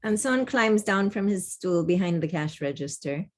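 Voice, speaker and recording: A woman's voice: fairly low pitch, expressive, moderate pace, a little hiss or hum. A woman reading stage directions as scripted narration at a table read over a video call. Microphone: home microphone on a video call.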